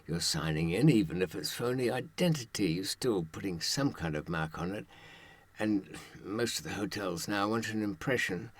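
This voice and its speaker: A man's voice: sits low, no echo. An older man giving a conversational advisory monologue to his audience, wry and dryly sardonic, with rhetorical asides. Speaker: true-crime advice presenter and author.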